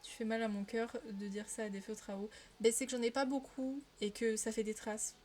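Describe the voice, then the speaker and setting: A woman's voice: fairly high pitch, little pitch movement, a little fast, darkish tone. A young woman from the Paris region chatting casually on a live stream while she colors, often laughing and answering viewers' messages. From Paris region, France.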